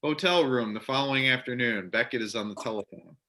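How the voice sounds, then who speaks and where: Male narrator for amateur play stage directions, clear-cut bright tone, medium pitch. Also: brisk, low-quality recording. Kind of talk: scripted narration, amateur readers theater.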